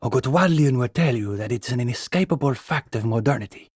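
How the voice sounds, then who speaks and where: An actor playing an earnest young communist student intellectual in a video game, speaking in an articulate, pedantic way. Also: male voice, medium pitch, expressive, fairly quick, a little mellow, husky, no room sound, clean studio sound. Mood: earnest, assured. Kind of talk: video game dialogue acting.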